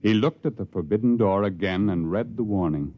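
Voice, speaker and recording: A man narrating a 1950s radio drama in a measured, storytelling way. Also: on the low side, slight background noise. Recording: dull lo-fi vintage radio recording with music.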